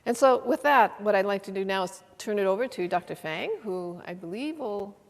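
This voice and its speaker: A woman's voice: mid-range voice, animated, brisk. An older woman at a lecture hall podium reads a speaker's introduction, with some fillers and restarts. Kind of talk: formal spoken introduction. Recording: long hall reverb.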